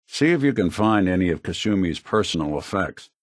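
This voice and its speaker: A man's voice: sits low, sing-song, brisk, dry recording, clean studio sound. An American man playing a world-weary, wry synthetic detective in a video game, speaking like a hardboiled gumshoe. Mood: focused, investigative. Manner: wry, streetwise, plain-spoken. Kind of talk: video game companion dialogue, hardboiled noir acting.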